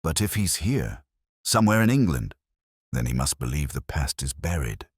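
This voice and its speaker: Guy doing a shrewd victorian detective for an audiobook, super low voice, precise, formal, dry close recording. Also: big pitch swings, normal speed, breathy edge, no room sound, heavily acted. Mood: grave, resolute. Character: shrewd, grave, tireless Victorian male detective. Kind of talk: audiobook character dialogue.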